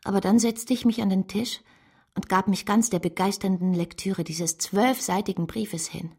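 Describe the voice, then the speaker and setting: An actress playing an earnest, lovestruck woman who narrates her own life in a measured, literary radio drama style. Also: medium pitch, fairly even intonation, darkish tone, slightly rough. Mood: resolved, absorbed.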